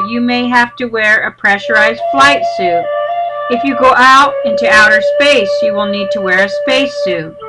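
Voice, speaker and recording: Higher-pitched woman guiding a meditation over music. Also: poor audio. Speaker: meditation teacher. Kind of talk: guided meditation narration. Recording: loud music under the voice. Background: loud instrumental music.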